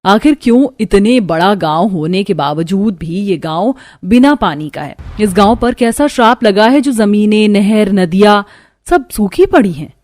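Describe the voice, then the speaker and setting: A woman's voice: medium pitch, animated, unhurried, a little mellow, no echo, studio quality. A woman narrating a scripted story in a dramatic storytelling manner.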